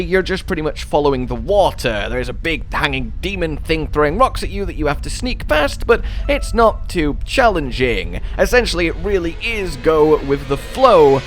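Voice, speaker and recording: A man's voice: fairly high pitch, sing-song, normal speed, hardly any pauses, fairly bright tone, soft background music. A man narrating a scripted video game walkthrough as its host, with playfully florid, wordy phrasing.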